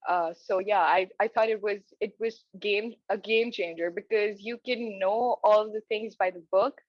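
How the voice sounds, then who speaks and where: A woman's voice: medium pitch, fairly even intonation, normal speed, dry recording, lo-fi sound. A young woman from Pakistan, a residency applicant, answering mock interview questions earnestly with frequent hesitant fillers and restarts over a video call.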